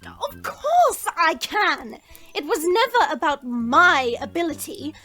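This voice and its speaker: A woman acts out a young dragon princess with a very high, lively voice. She is haughty and easily exasperated, scolding in formal, imperious phrases for an audio drama. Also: slow, faint music behind, heavily acted. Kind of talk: audio roleplay voice acting.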